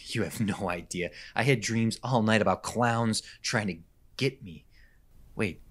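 A man playing a jittery, anxious young husband in a horror radio drama, speaking haltingly. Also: on the low side, a bit bright. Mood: irritated, uneasy. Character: jittery, nightmare-plagued young husband on honeymoon. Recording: clearly noisy background ambience.

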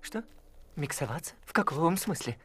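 A man voicing a panicky, bewildered chameleon in an animated film dub, jittery and flustered. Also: on the high side, normal speed, noisy background, natural voice. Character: panicky, bewildered male pet chameleon lost in desert.

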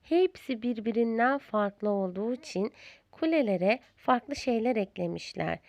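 A woman narrating a children's storybook in a deliberate, expressive storyteller's manner. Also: a little high, no echo.

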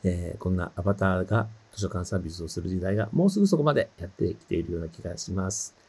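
A man's voice: sits low, lively intonation, quick pace, vocal fry, darkish tone, slightly rough. A middle-aged man giving a casual, polite and friendly self-introduction to camera, speaking a little hesitantly as a presenter. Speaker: avatar librarian presenter.

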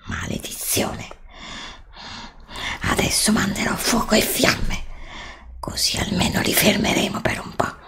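A middle-aged woman voicing a scheming evil witch queen of darkness in an ASMR roleplay, hissing curses in slow menacing whispers close to a desk mic. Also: mid-range voice, fairly bright tone. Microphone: desk condenser mic close up.

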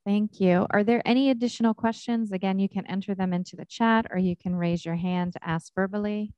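A woman's voice: mid-range voice, fairly even intonation, fairly quick, dark tone, clean tone, no echo. A woman moderating a question session, polite and procedural. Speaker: event moderator. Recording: dull, dry lo-fi audio.

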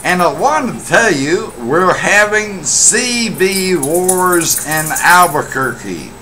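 American man, middle-aged, lively swooping pitch, forceful bright voice, boastful, casual webcam monologue. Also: soft background music. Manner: emphatic, boastful, deliberate phrasing. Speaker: CB radio hobbyist vlogger. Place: small indoor room at home. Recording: noisy home webcam recording.

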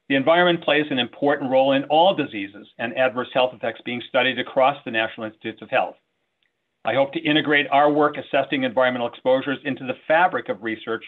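Older man, muffled video-call sound. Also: mid-range voice. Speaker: institute director. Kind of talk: prepared presentation to a council meeting. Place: small office, indoors, on a video call. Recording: muffled, band-limited video call audio.

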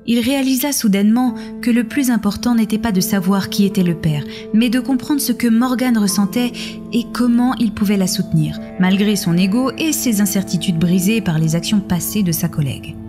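A woman's voice: medium pitch, brisk. A woman narrating scripted audio fiction in a literary storytelling style. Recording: music behind the voice.

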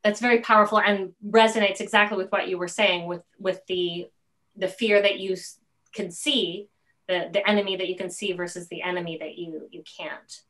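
A middle-aged woman hosting a casual interview on a video call, feeling her way haltingly through questions. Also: medium pitch, fairly even intonation, a bit bright, low-quality recording. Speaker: host, interviewer. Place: indoor room at home.

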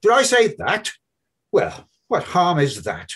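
A man voicing a brash, mocking young male medical student in a loud, high, husky voice. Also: wildly swooping pitch, slow, exaggerated voice.

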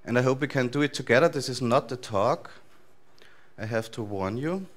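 A man in his thirties with an Austrian accent, giving a relaxed, loosely structured talk to a conference audience in a large lecture hall. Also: medium pitch, moderate pace. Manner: relaxed, thinking aloud with frequent fillers and restarts. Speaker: conference speaker.

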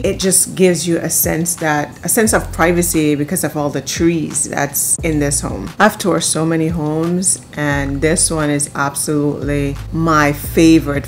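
A woman with a low-pitched voice talks as a house tour host. Her delivery is casual and enthusiastic, like someone showing a place to her vlog followers. Music plays along under her words.

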